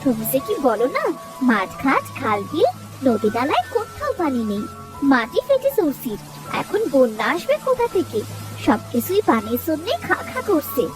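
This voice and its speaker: High, lively village wife. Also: female voice, moderate pace, loud music behind the voice. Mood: chatty. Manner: coaxing, expressive, homely. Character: caring, practical village wife and mother, gently coaxing. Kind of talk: Bengali moral-story cartoon voice acting.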